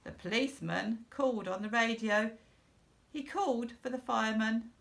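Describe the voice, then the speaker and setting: A woman reading a children's story aloud in a deliberate, expressive narrating style. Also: a little high, gravelly. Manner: deliberate, expressive, with repeated phrases stressed. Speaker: storybook reader.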